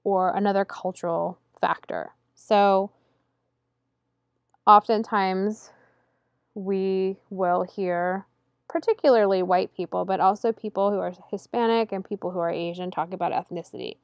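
Female lecturer for a recorded slide lesson, steady and explanatory, dry voice-over sound. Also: medium pitch, gravelly, no room sound, lo-fi sound. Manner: measured and explanatory, slowing to stress key terms. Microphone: close voice-over mic over slides.

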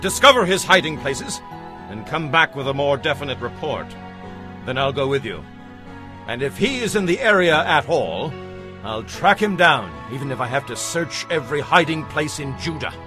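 A man's voice: a little high, very animated, normal speed. An actor playing an obsessive, vengeful king in a dramatized audio Bible, commanding and intense. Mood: commanding, determined. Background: music.

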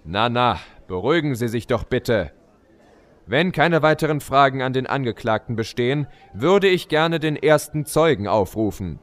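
A man's voice: medium pitch, animated, normal speed, lightly acted. A man playing a formal, easily exasperated judge keeping order in a comedy courtroom audio play.

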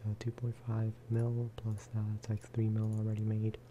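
A man talks softly through a video game in a relaxed ASMR style. His voice sits a little low and barely moves in pitch as he rambles casually. There is clear noise behind him, with clicking. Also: normal speed. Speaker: gaming video creator. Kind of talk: soft-spoken ASMR game commentary.

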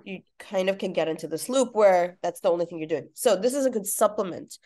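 A woman's voice: medium pitch, lively intonation, brisk, warm tone. Woman w a bit of a cold, chatty and explaining stuff on a video call from home. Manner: friendly, explanatory, with restarts. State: congested from a cold. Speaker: pediatric practitioner hosting a group call. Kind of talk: casual group video call. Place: home room with window.

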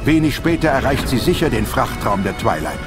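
Ein Mann liest als Erzähler den Text eines dramatischen Hörspiels. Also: male voice, mid-range voice, sing-song, a little fast, over loud music. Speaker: narrator. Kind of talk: scripted dramatic audio drama narration.

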